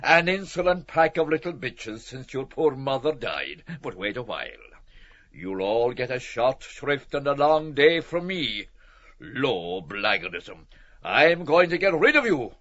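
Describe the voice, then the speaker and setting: A man's voice: medium pitch, very animated, measured pace, fairly bright tone, dry recording, dull audio. An actor playing an irascible, sardonic, hard-up middle-aged Irish father in a literary audio drama, blustering and mocking with theatrical flourish. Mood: angry, threatening.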